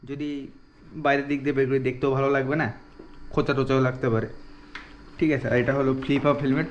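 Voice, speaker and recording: Male product reviewer, mid-pitched, casual and explanatory, walking through steps; dull recording with faint background noise. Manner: explanatory, step by step, repeats "okay" as a check. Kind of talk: casual product demonstration vlog.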